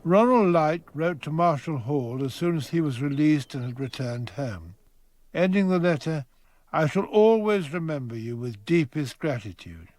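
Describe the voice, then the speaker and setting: A male radio narrator tells a scripted dramatic story. His voice is a little high and moves with great animation. The recording is dry and free of room sound. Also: big pitch swings, moderate pace, a little mellow, dry recording. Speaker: narrator and presenter.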